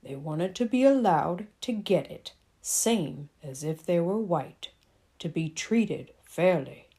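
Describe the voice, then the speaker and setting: A woman voicing a gentle, steady father explaining things in a measured way in a children's storybook. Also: lowish voice, breathy edge, exaggerated voice.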